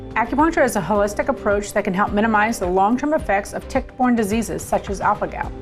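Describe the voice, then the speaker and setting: Fast-talking woman in an ad. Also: medium pitch. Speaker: commercial announcer. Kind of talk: scripted ad read. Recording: music bed under voice.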